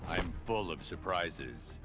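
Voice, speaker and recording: Very deep, grim vigilante. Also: male voice, animated, slow pace, heavily acted. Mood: menacing. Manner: terse, menacing. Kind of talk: video game fight intro dialogue. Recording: game audio under loud battle noise.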